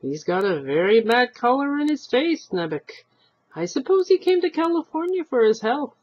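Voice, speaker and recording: A woman reader plays a blunt old wife who nags and scolds in Yiddish-inflected English. Her pitch leaps high and swings widely as she fires off indignant questions. The storybook reading has a slightly husky grain and a dull, lo-fi sound. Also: sing-song, moderate pace, a little mellow. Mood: nosy, dismissive.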